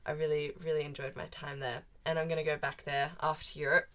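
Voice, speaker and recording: A woman's voice: low voice, level tone, brisk, pushed and bright. A seventeen-year-old girl from Central Australia with a broad Australian accent, chatting casually to camera as a vlogger and rambling along with plenty of "like" fillers. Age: teens.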